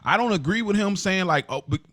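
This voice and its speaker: A man's voice: sits high, animated, a little fast, a bit bright, dry recording. An American man giving opinions in a casual discussion, assertive and emphatic, repeating his key points. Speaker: podcast co-host.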